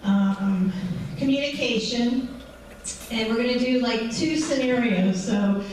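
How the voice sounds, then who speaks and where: A woman in her forties with a high voice, a counselor giving a conversational presentation to a patient group, peppered with um and right. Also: slow pace, darkish tone. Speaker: mental health counselor. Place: large echoey event room. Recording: echoey hall, distant mic, faint noise.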